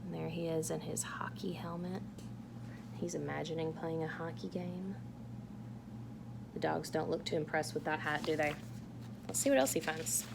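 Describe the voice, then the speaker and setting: A woman's voice: deep voice, steady tone, normal speed, long pauses. A woman reading a picture book aloud to children, with casual asides and questions to her listeners. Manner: engaging, asks the listeners questions. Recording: clear background noise.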